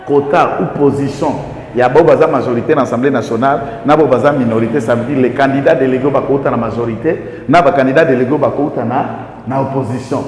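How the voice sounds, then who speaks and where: A man's voice: medium pitch, a little mellow. A man with a Congolese accent giving impassioned political commentary as a guest, emphatic, with rhetorical questions and repeated lists. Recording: echoey reverberant room, faint background noise.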